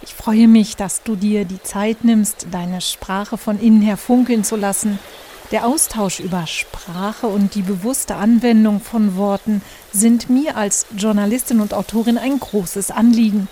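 A woman's voice: mid-range voice, steady tone, unhurried, warm tone, slight background noise. A German woman hosting her own podcast, talking through her thoughts in a reflective monologue with many fillers and restarts. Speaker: podcast host, journalist and author.

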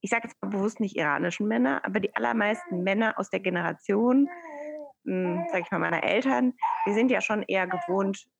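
A woman in her thirties, born in Iran, talking as a guest over a video call, thoughtful and hesitant with many pauses. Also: medium pitch, creaky, dull audio. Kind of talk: casual interview conversation.

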